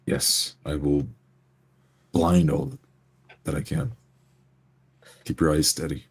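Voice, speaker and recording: A man voicing a grave, commanding spellcaster in a tabletop game, terse and deliberate. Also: extremely low pitch, expressive, vocal fry, husky, full character voice. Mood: grave, urgent.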